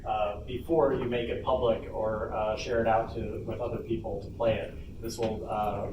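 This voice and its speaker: A man's voice: lowish voice, level tone, moderate pace, muffled recording. A man giving an informal instructional talk to an audience as a workshop presenter at a convention panel. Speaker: workshop presenter, game developer. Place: indoor convention panel room. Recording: roomy echo, distant mic, noisy convention room.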